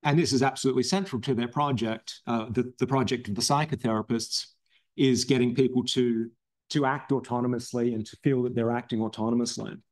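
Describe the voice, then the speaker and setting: A man giving an academic seminar talk, explaining ideas in a halting way with many ums and restarts, his voice a little strained from losing it recently. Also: mid-range voice, fairly even intonation. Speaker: philosophy researcher presenting a seminar talk.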